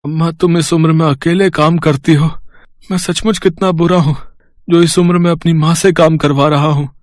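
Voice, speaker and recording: Man as regretful blind son, highish voice. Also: fairly even intonation, moderate pace, a little mellow, no room sound, studio quality, close to an everyday voice. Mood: guilty, worried. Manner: regretful, concerned. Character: guilt-ridden, caring blind adult son.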